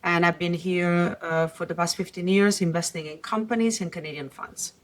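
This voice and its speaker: A middle-aged woman with a slight Italian accent, from Italy, explaining her career calmly as a guest in a podcast interview, pausing often. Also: on the low side. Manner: measured, explanatory, with frequent "uh" pauses. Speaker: guest investor.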